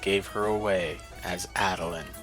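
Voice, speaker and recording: Male narrator, lowish bright voice, storybook read, soft music behind. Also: normal speed, rough recording. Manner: even, descriptive storytelling.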